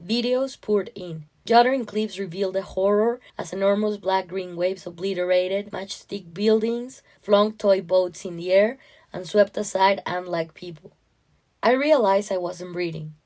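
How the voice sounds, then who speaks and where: A woman narrating a first-person story in a measured, expressive way. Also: medium pitch. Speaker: short story reader.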